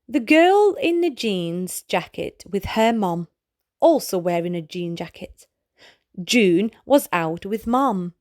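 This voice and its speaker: A woman reading a children's storybook aloud in a measured way, pausing phrase by phrase. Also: mid-range voice, a little mellow, no echo, studio quality. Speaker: read-aloud narrator of a children's book. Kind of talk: slow read-aloud of short storybook pieces.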